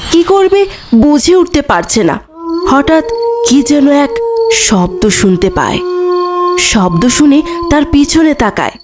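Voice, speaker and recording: A woman narrating a scripted horror story as a storyteller. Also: high voice.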